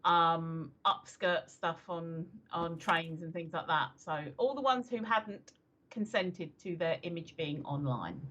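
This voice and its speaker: A woman's voice: fairly low pitch, takes it slowly, a bit bright. A woman around fifty chatting casually as co-host of a livestream talk show, hesitant and full of ums. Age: 50s. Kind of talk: casual chat. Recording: slightly dull lo-fi audio.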